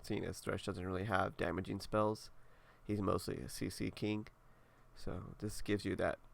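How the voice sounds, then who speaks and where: A man's voice: sits low, level tone, normal speed, vocal fry. A young man with a congested, nasal voice, casually talking through his game setup with lots of fillers. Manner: laid-back, rambling, many fillers. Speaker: gaming video creator. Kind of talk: casual walkthrough commentary.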